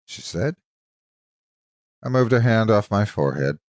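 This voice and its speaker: A man narrating from a script as a storyteller, with a measured, expressive and dramatic delivery. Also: fairly low pitch, dry recording, clean studio sound. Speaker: story narrator and channel host.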